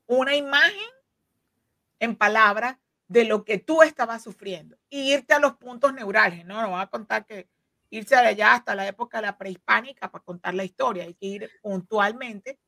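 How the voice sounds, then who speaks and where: A woman's voice: a little high, moderate pace, vocal fry, sharp tone, no room sound. A woman in her forties, a legal counsel, explaining earnestly with many fillers and restarts, recorded on a video call from a home office. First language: Spanish. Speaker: immigration legal counsel, guest. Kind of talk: explanatory interview talk. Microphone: desk microphone on video call.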